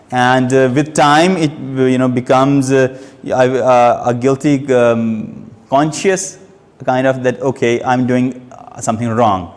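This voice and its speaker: Young man, stammering speaker, slow and halting, hall reverb. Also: mid-range voice, darkish tone. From Pakistan. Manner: stammers, with frequent hesitations and repeated sounds. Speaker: club member giving a speech. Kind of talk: prepared personal speech.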